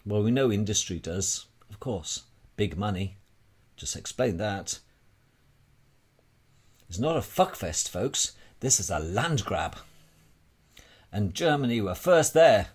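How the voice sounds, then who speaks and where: Low-pitched man, emphatic monologue. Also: slightly rough. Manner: emphatic, repetitive, rhetorical questions. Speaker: amateur presenter. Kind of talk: informal explanatory monologue.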